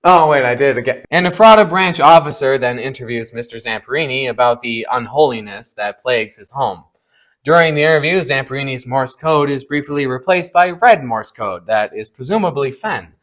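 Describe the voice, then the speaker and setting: A man's voice: medium pitch, moderate pace, fairly bright tone. A man narrating a scripted explainer, with informal, playful asides to the viewers. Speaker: video essay narrator.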